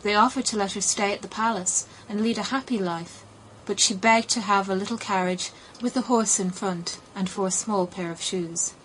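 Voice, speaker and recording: A woman reading a fairy tale as an audiobook narrator, in an expressive storytelling manner. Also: mid-range voice, moderate pace, few pauses, low-quality recording. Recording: clear background noise.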